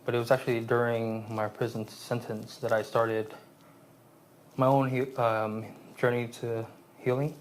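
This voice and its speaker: Guy in his late 20s, grew up in san jose after vietnam, halting reflective talk, bit of hiss behind. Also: mid-range voice, flat delivery, rough recording. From Vietnam, raised in San Jose. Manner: halting, many restarts and fillers. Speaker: guest, aspiring therapist.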